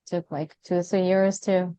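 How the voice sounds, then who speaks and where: A woman's voice: on the low side, flat delivery, moderate pace, warm tone, clean tone. A woman who is a company CEO, speaking as a podcast guest in an upbeat, grateful, go-getter manner. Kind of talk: conversational interview.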